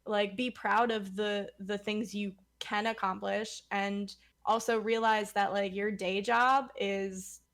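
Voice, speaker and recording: A woman's voice: medium pitch, little pitch movement, takes it slowly, few pauses, a bit bright. A woman guest on a streaming talk show, chatting casually and rambling with many fillers. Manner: rambling, many likes and ums. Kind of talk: casual podcast chat.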